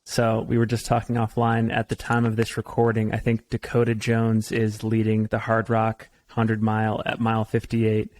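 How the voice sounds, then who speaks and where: A man's voice: on the low side, monotone, normal speed, hardly any pauses, a little mellow. A man hosting a podcast, asking easygoing, rambling questions in a casual interview. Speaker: podcast host.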